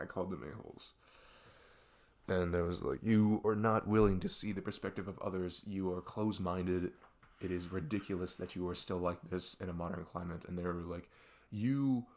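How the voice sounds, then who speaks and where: Male actor as young guy best friend, low voice, ASMR roleplay, rambling, halting, exasperated, confiding. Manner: rambling, halting, exasperated sighs and nervous laughs. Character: young male best friend venting about arguing with parents.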